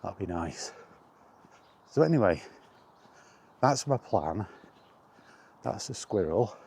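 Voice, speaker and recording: A man with a low, mellow voice rambles casually while out on a walk. He thinks aloud in short, unhurried phrases as he hosts his vlog, with some outdoor noise around him. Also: expressive, creaky. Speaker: host of a walk-and-talk retro gaming vlog.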